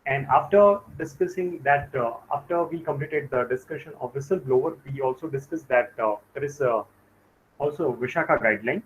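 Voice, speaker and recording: A man from India leads a live online class, explaining each point slowly and pausing often on fillers. His mid-pitched voice arrives a little muffled, as if through a video call. A faint hiss sits under it. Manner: slow, halting delivery with frequent "uh" fillers. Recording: dull lo-fi call audio with faint noise.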